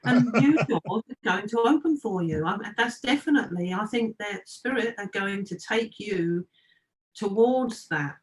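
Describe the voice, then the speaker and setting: She is a chatty woman guest with an ordinary mid-range pitch. She speaks earnestly and rambles from one thought to the next in easy, conversational talk. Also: lively intonation, measured pace, poor audio. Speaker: guest, trance medium. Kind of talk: casual interview chat.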